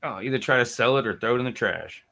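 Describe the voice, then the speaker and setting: Male host, mid-pitch, laid-back storyteller who acts out the people in his stories. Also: fast talker, vocal fry, bright, forceful tone. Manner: laid-back storyteller, acts out phone calls in put-on voices. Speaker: podcast host. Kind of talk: casual chat, storytelling.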